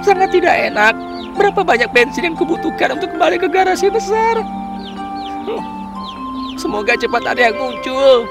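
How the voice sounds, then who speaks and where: A woman voicing a chatty, overconfident taxi car in a dubbed children's cartoon, speaking in a lively, expressive way. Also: high voice, moderate pace, long pauses. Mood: worried. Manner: lively, expressive storytelling delivery. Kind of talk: cartoon dubbing. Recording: music playing underneath.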